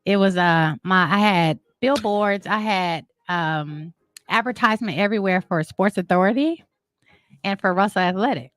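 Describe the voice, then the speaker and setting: A woman in her thirties chatting informally as a talk show guest, telling stories in an animated way. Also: mid-range voice, moderate pace, slight background noise. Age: 30s. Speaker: talk show guest, marketing and branding entrepreneur. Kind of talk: casual interview chat.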